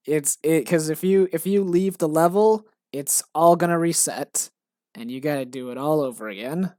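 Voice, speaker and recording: Low-voiced woman rambling on a stream. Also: moderate pace, darkish tone, no room sound, studio quality. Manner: rambling, lots of fillers and restarts, self-mocking. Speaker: game developer streaming her work. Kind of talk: casual rambling stream chat.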